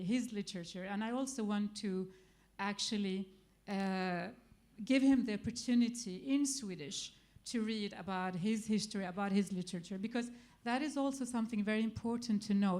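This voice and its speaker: A woman's voice: mid-range voice, a little mellow. Woman with an Arabic accent, hesitant. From Iraq. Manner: hesitant, many fillers and restarts. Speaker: festival guest speaker. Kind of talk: spontaneous public talk.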